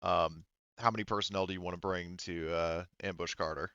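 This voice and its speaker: A man game mastering a tabletop roleplaying session, thinking aloud in an informal, halting way. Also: low-pitched, fairly even intonation, sharp tone, smooth, dry recording, lo-fi sound.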